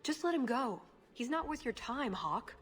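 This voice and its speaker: A woman's voice: high voice, expressive, moderate pace, slightly rough. An actress voicing a curious, breezy woman rogue companion in a video game, talking casually and inquisitively. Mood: earnest.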